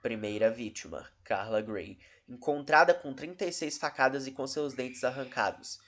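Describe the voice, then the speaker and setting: A man with a Brazilian accent hosts a casual commentary video. He speaks at a middling pitch in an informal, rambling way, often cutting himself off. His delivery is dry and sarcastic. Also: normal speed, a bit bright. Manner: rambling, self-interrupting, dryly sarcastic. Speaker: host of a video channel about horror cases.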